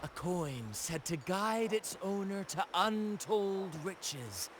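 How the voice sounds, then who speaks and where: A man's voice: sits high, animated, takes it slowly, background noise. A man playing a flamboyant young pirate captain and showman in a video game, with a theatrical, declamatory delivery. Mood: grandiose. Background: game background music.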